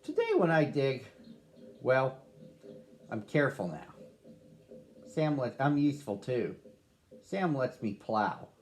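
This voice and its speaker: A man reading a rhyming picture book aloud to children, with a slow, sing-song rhythm. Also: mid-range voice, big pitch swings, a little mellow. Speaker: storybook reader.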